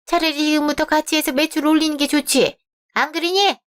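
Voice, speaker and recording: A haughty middle-aged mother-in-law who scolds and talks down to everyone, read by a woman in a radio drama. She speaks in a fairly high voice with a condescending edge. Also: fairly even intonation, brisk, a bit bright. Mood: scolding.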